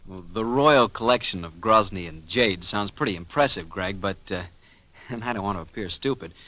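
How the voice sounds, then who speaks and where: A man with an American accent hosting a 1940s radio show, pitching the sponsor in an emphatic, folksy way. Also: moderate pace, sharp tone, no room sound. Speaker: radio announcer and host.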